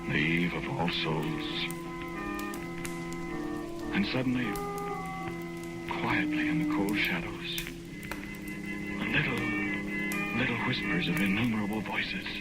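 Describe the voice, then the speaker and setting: Condemned prisoner: deep male voice, haunted, brooding and halting, 1940s radio drama over heavy music. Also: rough recording. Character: desperate condemned prisoner, innocent, haunted, narrating his own ghostly tale.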